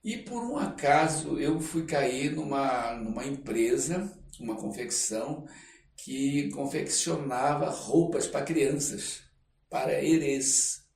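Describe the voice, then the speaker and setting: An older man with a Brazilian accent tells stories conversationally as an interview guest, in an expressive, reflective way, often checking in with tag questions. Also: mid-range voice, slow pace, gravelly. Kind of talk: conversational storytelling. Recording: roomy, distant mic, faint noise.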